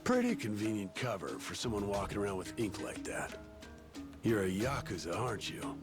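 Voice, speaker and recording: A middle-aged barkeep dad for a video game, low in pitch and gruff. He is suspicious and sardonic, a grudging former treasure hunter, with music playing behind him. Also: sing-song, moderate pace. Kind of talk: English video game voice acting.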